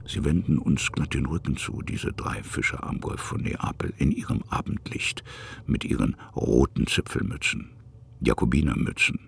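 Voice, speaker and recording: A man with a gravelly, breathy voice, narrating a literary radio play in a musing, halting manner that sometimes sinks to a hush. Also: rumbling low, a little hiss or hum. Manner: musing, halting, hushed at times.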